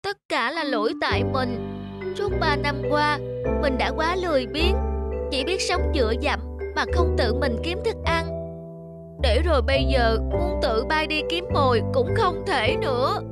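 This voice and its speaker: A woman's voice: high-pitched, expressive, unhurried. A woman voicing a lazy young female swan in a cartoon, childlike and full of exclamations. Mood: pleading, regretful. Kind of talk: Vietnamese cartoon dubbing. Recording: music under the voice.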